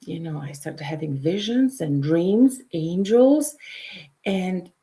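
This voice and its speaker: A middle-aged woman with a Polish accent guides listeners through a calm meditation and shares her own story. Her voice sits fairly low and her pace is very slow and unhurried. She speaks softly and at times sinks into a whisper. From Poland. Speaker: intuitive counselor and show host.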